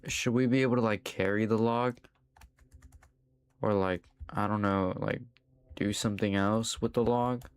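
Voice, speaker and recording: A man's voice: fairly low pitch, fairly even intonation, unhurried, long pauses, smooth, dry recording. A young adult man chatting casually to his chat while playing a game live, swearing now and then. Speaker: streamer. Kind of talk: casual live gaming commentary.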